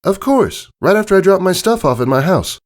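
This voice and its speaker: Eager, sulky filly for a storybook, played by a man, pitched far up, casual and whiny. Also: sing-song, fairly quick. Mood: eager, offhand. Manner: casual, whiny kid talk. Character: eager, sulky young girl pegasus pony.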